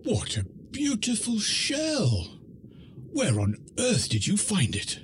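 An actor voicing a weary, gentle older uncle octopus in a children's audio drama. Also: mid-range voice, huge pitch swings, slow pace, exaggerated voice. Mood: touched, grateful. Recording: clearly noisy recording with clicks.

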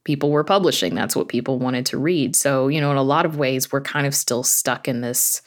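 A woman with a low voice speaks as an interview guest. Her delivery is informal and reflective, in the manner of someone telling a story in conversation. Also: fairly quick. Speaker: guest, horror fiction writer and podcast creator.

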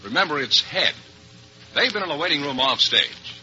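A man's voice: fairly high pitch, expressive, normal speed, pushed and bright, soft background music. A man announcing in a polished 1940s radio style. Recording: muffled, faintly noisy old radio broadcast.

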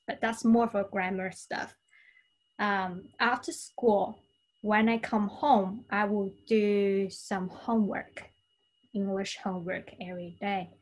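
Slow-paced woman with a Chinese accent. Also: mid-range voice, poor audio. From China. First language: Cantonese. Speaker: podcast guest. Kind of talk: conversational interview answers.

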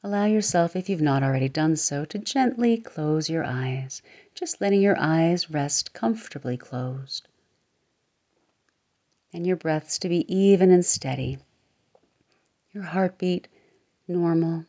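A woman guiding a relaxation meditation, speaking slowly and soothingly and sometimes sinking into a soft near-whisper. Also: low voice. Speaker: meditation guide. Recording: slightly dull lo-fi recording.

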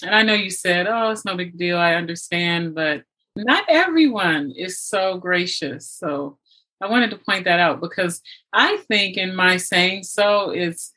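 A woman's voice: lowish voice, a little slow, pushed and bright. A woman hosting an interview podcast in a conversational, effusive and appreciative way. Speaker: podcast host.

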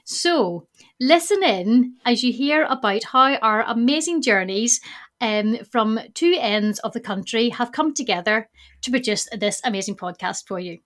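A woman's voice: a little high, expressive, unhurried, a bit bright. A woman co-hosting a podcast, reading an upbeat intro and asking her co-host questions with enthusiasm. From Ireland. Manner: upbeat, enthusiastic, laughs along. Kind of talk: scripted podcast intro, then interviewing.

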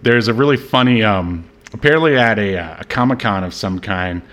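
A man hosting a podcast in casual movie-review banter, talking in a halting, joking, conversational way. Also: fairly low pitch, fairly bright tone. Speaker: podcast host.

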